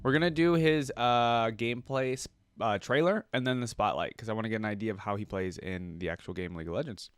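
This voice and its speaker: A man giving casual reaction commentary, thinking aloud in an animated, exclamatory way. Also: lowish voice, fast, smooth, dry recording, studio quality. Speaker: reaction video host. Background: music.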